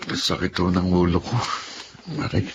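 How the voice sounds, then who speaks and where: An actor playing a frustrated, blunt husband of thirty in a Filipino radio drama. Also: male voice, sits low, completely flat delivery, measured pace, a little hiss or hum. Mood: hungover, subdued. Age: 30s.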